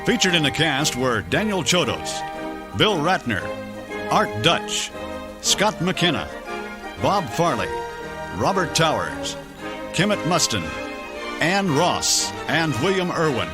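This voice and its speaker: A man's voice: fairly high pitch, sing-song, slow, long pauses. A man announcing and narrating an old-time radio adventure serial in a theatrical, declamatory way. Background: dramatic music.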